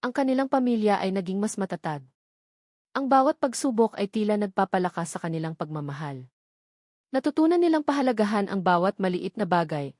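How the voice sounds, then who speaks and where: A woman's voice: medium pitch, a little mellow, dry recording. Woman narrating a dramatic story, dry recording. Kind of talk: scripted dramatic narration of a Tagalog love story.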